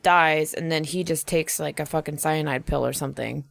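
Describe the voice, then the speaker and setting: A woman's voice: sits low, fairly even intonation, normal speed, creaky, no room sound. A woman co-hosting a podcast, chatting casually and tossing in ideas in a blunt, offhand, sweary way. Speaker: podcast co-host. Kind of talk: casual improvised brainstorming chat.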